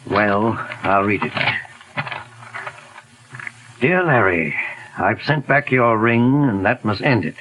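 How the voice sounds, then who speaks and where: An actor playing a calm, methodical middle-aged detective in a 1940s radio drama, speaking in a measured, polite way over a hissy old broadcast. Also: male voice, fairly low pitch, rough recording. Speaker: detective lead.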